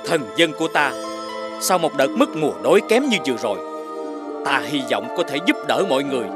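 A man playing a benevolent king in an animated fairy tale, formal and declamatory. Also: on the high side, brisk. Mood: earnest, regal. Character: benevolent king addressing his subjects. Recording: music behind the voice.

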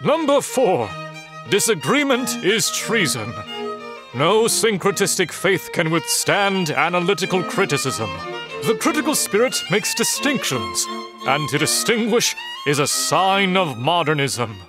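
A man with a high, very lively voice narrates theatrically. His delivery is grand and dramatic, with music playing behind him. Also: a little slow. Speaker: narrator of a comic dub.

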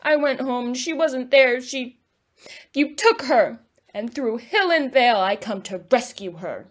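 Woman as defiant teen, fairy mimic, fairly high pitch, accusing, earnest, audio drama. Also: lively intonation, measured pace.

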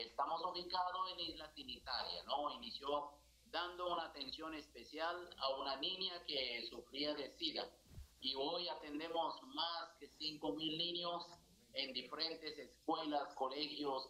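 A middle-aged man from India speaking Spanish with an Indian accent, an earnest priest talking haltingly with many fillers during a video-call TV interview. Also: on the high side, sharp tone, poor audio. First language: not Spanish. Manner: earnest, gestures a lot, halting non-native phrasing with many fillers. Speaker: priest, foundation director. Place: indoor office with a religious statue.

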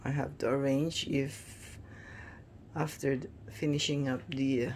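A woman giving a casual, halting tour of her newly finished basement, filming on a phone indoors. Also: extremely low pitch, flat delivery, a little mellow, slight background noise. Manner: hesitant, many restarts and fillers. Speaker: home vlogger. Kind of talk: casual home tour narration.

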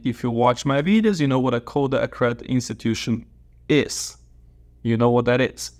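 A man's voice: medium pitch, vocal fry. A man in his thirties, a native Portuguese speaker from Brazil, gives advice straight to camera while seated at a desk in a small office. He gestures with open hands as he explains, repeats his key points for emphasis, and is recorded on a clip-on lapel mic. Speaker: dentist and educator.

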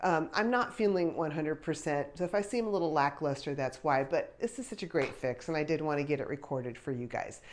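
A woman's voice: sits low, a little fast, few pauses, slight background noise. A woman in her fifties, a little stuffy from congestion, chatting casually as she unboxes and tries on clothes, on a lapel mic in a small bedroom. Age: 50s. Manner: chatty, thinking aloud with small laughs. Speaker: fashion try-on vlogger. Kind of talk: casual unboxing and try-on chat. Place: small pink bedroom, indoors. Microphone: clip-on lapel mic.

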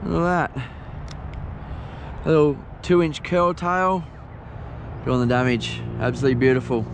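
A middle-aged Australian man giving relaxed, chatty fishing commentary by a lake, filmed on a head-mounted action camera. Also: medium pitch. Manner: relaxed, chatty, unhurried with fillers. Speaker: fishing vlogger. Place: outdoors by a lake shore. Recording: outdoor noise, wind and water.

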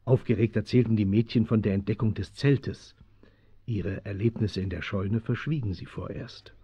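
An actor playing the composed narrator of a German children's adventure radio play, reading scripted narration. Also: male voice, fairly low pitch, moderate pace, slightly rough, no room sound. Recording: dull, dry 1970s audio drama recording.

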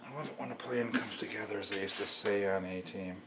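A man's voice: lowish voice, fast talker. A middle-aged man thinking aloud as he narrates a hands-on build, folksy and self-deprecating, half muttering to himself and the viewer. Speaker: DIY workshop host. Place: indoor garage workshop. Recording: echoey workshop, clattering tool noise.

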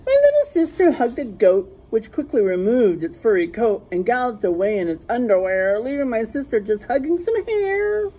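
A middle-aged woman reading a rhyming picture book aloud in a teacherly way, pausing to point out word pairs. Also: on the high side, very animated, dark tone, husky, poor audio. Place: small classroom, indoors.

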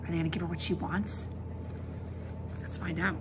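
A middle-aged woman reading a children's book aloud as a teacher, expressive, emphatic and instructive. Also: on the low side, moderate pace. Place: indoors in a school classroom. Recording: classroom recording with background noise.

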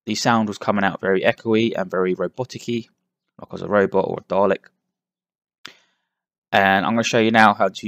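A man's voice: lowish voice, no echo, clean studio sound. A man hosting a casual tech walkthrough, chatting to viewers in a friendly way into a headset mic. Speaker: tech tutorial host. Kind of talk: casual screen-recorded walkthrough. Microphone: close headset microphone.

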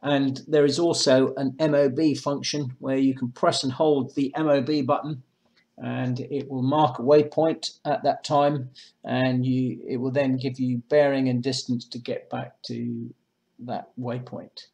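A man presenting marine electronics in a measured, matter-of-fact way, as in a semi-scripted product demonstration. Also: medium pitch, little pitch movement, creaky, darkish tone. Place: indoors, small room with block walls at a table.

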